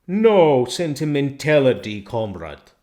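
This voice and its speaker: Man as ruthless male pig leader, storybook character, loud declamatory orders, raised pitch. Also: very animated, slow pace. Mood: fierce, commanding. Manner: hard, declamatory, shouted commands. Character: fierce, ruthless revolutionary farm pig, male.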